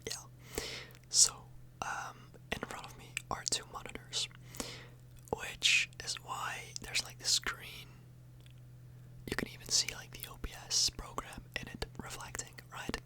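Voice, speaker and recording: A woman whispering softly and breathily in a casual, rambling ASMR video, often hesitating and correcting herself. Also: measured pace, rough recording. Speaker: ASMR YouTuber, host. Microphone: microphone a little further away than usual.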